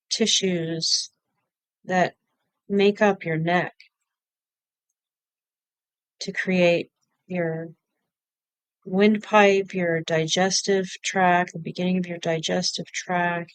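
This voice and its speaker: A woman guides a meditation at a very slow pace, leaving space between short phrases. Her voice sits a little low and is strikingly smooth and clear. She gives instructions step by step, without hurry. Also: fairly even intonation, dry recording. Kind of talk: guided body-scan meditation.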